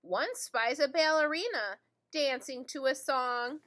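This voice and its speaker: Female primary teacher, middle-aged, high-pitched and slow, singsong picture-book read-aloud for little kids. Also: animated, bright, forceful tone. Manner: singsong, deliberate, addressing young children. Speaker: teacher reading to children. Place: indoor school classroom.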